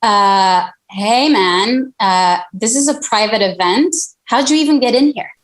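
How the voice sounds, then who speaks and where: Female actor as irritable music mogul, mid-pitched, casual and evasive, caught on the defensive. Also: a little slow, natural voice. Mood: flustered annoyance. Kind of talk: scripted table read acting.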